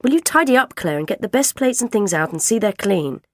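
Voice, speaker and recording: A woman's voice: mid-range voice, expressive, fairly quick, no room sound, studio quality, voice pushed up, close to an everyday voice. A woman voicing a harassed, flustered mother who hurries her children along.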